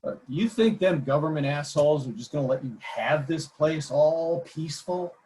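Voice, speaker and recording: A man's voice: on the high side, normal speed, a little mellow, slight background noise, lightly acted, poor audio. An actor in a screenplay table read playing a menacing male gang leader who sneers and taunts with coarse, mocking talk. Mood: contemptuous.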